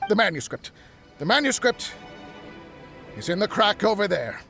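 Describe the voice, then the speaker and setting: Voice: mid-range voice, very animated, normal speed, vocal fry, music in the background. Muddled, wildly swooping researcher. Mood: dazed, whiny. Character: muddled, frantic researcher babbling in a daze. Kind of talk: video game English dub acting.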